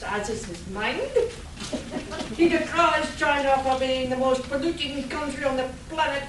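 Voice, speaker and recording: A woman's voice: sits high, a bit bright. A woman playing a scornful, haughty villain, a scheming weather scientist in a comic radio play. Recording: echoey room, far mic, faint noise.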